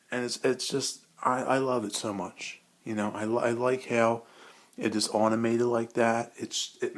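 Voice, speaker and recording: A man rambling casually and enthusiastically, with a hesitant, repetitive way of talking, like a hobby vlogger. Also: mid-range voice.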